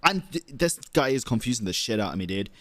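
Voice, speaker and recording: A man's voice: mid-range voice, very animated, fast, fairly bright tone. A man streaming a video game, giving casual, sweary commentary in a blunt, sarcastic, heckling way.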